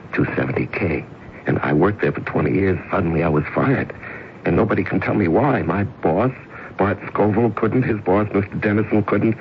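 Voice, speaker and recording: An American actor playing a middle-aged office man in a radio drama, pleading and obsessive, with a halting, emphatic delivery. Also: low-pitched. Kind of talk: 1970s radio drama acting. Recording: muffled, hissy old radio recording.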